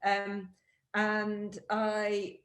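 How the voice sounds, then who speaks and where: A woman's voice: mid-range voice, monotone, very slow, roomy sound. An English woman with a mixed Yorkshire and Cornish accent, chatting as an author on a video call and talking in a reflective, rambling way. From England. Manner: chatty, reflective, with many fillers and restarts. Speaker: author and interview guest. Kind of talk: casual conversation over video call.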